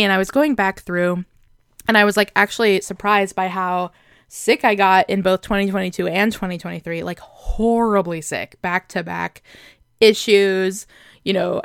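A young woman with a smooth, clean voice chats earnestly to her viewers. She talks in a loose, reflective way, full of little fillers. The sound is close and dry, with no room around it. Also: mid-range voice, a bit bright, dry recording. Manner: chatty, earnest, many fillers like "like" and "right?". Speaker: vlogger. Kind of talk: casual reflective talk to camera.

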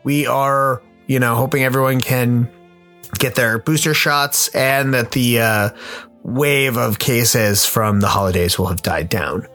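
A man's voice: mid-range voice, steady tone, unhurried, fairly bright tone, faint music behind. A man giving a casual podcast introduction as the host.

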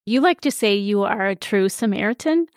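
Woman podcast host, friendly and measured. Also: medium pitch, expressive, no room sound, clean studio sound. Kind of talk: scripted narration and interview.

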